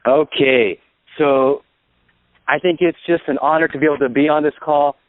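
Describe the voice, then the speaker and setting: A man with a fairly high voice gives practical sales training on a conference call. He explains things plainly, one step at a time. The sound is a dry, narrow telephone line. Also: steady tone, moderate pace, no echo. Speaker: insurance sales agent and trainer, guest speaker. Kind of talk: instructional sales talk on a call. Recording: dry, tinny phone line.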